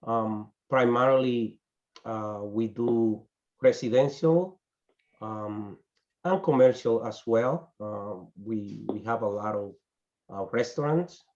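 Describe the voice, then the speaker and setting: Slow, halting man. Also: lowish voice, darkish tone, lo-fi sound. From Dominican Republic. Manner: halting, frequent restarts and pauses. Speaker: business owner presenting his company. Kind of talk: networking group self-introduction. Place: small indoor office in front of a company banner. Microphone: video call webcam.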